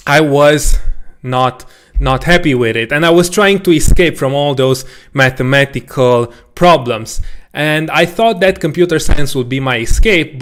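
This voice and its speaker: A man whose first language is Romanian, telling a casual personal story to camera as a coding educator, with a relaxed, self-deprecating manner. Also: on the high side. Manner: relaxed, self-deprecating, frequent restarts and small laughs.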